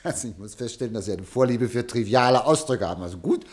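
A cynical newspaper director played by a male actor in a radio drama. His mid-pitched voice swings widely up and down. He is slippery and theatrical, always scheming and feigning innocence. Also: animated, fast talker, lightly acted. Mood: oily, evasive. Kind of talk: German radio drama acting.

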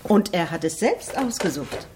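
An actress playing a bossy, sharp-tongued, socially ambitious lady in a radio drama. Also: lowish voice, big pitch swings, normal speed. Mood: ingratiating. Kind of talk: German radio drama acting. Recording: clear background noise.

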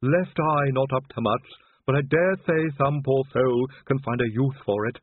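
Guy doing a dying patchwork servant, groany and insistent, pitched up, audiobook, tinny sound. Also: moderate pace, no room sound, natural voice. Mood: groaning, insistent. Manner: groaning, colloquial, urgent.

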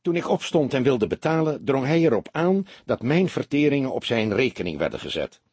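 Polite male businessman witness a man acts him out, explanatory, animated intonation, faintly rough tone, detective audiobook. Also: mid-range voice, a little fast, no room sound, voice pushed up. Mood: amused, chatty. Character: earnest, round-faced businessman giving his account.

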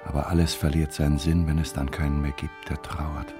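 An actor playing an obsessed, grave killer in a radio drama, speaking a halting inner monologue as he feels death approach. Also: rumbling low, little pitch movement. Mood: grave, brooding. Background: cello and string music.

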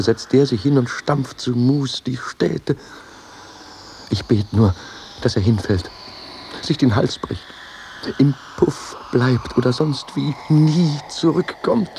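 An actor playing a shrewd, cowardly winegrower with a rough voice and wry, earthy asides, in a comic radio play. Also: male voice, mid-range voice, darkish tone, a little hiss or hum. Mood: anxious. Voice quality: very rough, husky voice. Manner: earthy, wryly lamenting asides. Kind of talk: classical comedy radio play acting.

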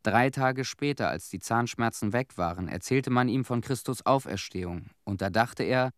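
An actor playing a haunted disaster cleanup veteran in a radio play, telling his story in short, measured, somber phrases. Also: medium pitch, dry recording, studio quality, close to an everyday voice. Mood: somber, bitter. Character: haunted Chernobyl cleanup veteran, a man bearing witness.

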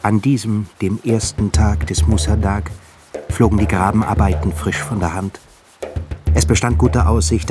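A man narrating a literary radio drama in a dramatic, emphatic style. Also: fairly low pitch.

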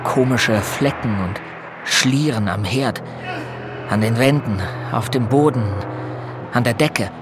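A man's voice: medium pitch, takes it slowly, noisy background, close to an everyday voice. An actor playing a teenage boy who narrates grim events in the first person in a radio drama, sounding unsettled. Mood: grim, slow. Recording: loud music bed under the voice.

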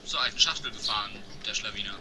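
A man playing a matter-of-fact, deadpan young researcher detective in a parody audio drama. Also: on the low side, fast, loud music behind the voice, lightly acted. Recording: noisy amateur recording with clicks.

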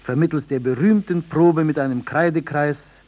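An actor as the narrator of a 1950s German radio play, telling a parable in a measured, explanatory way. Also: male voice, fairly high pitch, a little mellow, lightly acted. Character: calm storyteller narrating a folk parable.

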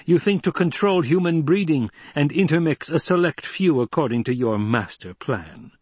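A man voicing a defiant, coldly angry young heir in a forceful, imperious, declamatory way. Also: a little high, animated, normal speed, breathy edge, no room sound, voice pushed up. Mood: commanding fury.

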